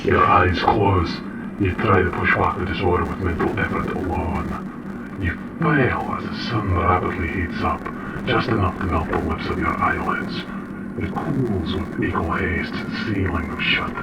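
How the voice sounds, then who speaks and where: An ominous voice from a nightmare, acted by a man, very deep and solemn. It narrates portentously and deliberately in an audio drama, sounding set back from the mic beneath very loud music. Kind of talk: second-person dream narration, audio drama. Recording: distant voice under loud music.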